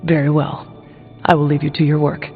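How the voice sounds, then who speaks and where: An actor playing a stoic, solemn warrior hero in a video game, speaking in terse, formal, earnest phrases. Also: male voice, fairly high pitch, flat delivery, a little fast, gravelly, big-room sound, phone-quality sound, faint music behind. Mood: polite, reserved. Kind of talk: video game character dialogue.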